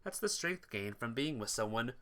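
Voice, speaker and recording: A man's voice: medium pitch, very animated, moderate pace, fairly bright tone. A man narrating a visual novel playthrough, reading the story text aloud.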